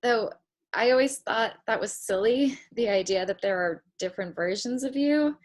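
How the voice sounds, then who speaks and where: A woman's voice: fairly high pitch, moderate pace, lightly acted. An actress playing a dreamy, hesitant young woman who rambles reflectively in a naturalistic play reading. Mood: musing, hopeful. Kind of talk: naturalistic stage drama read over video call.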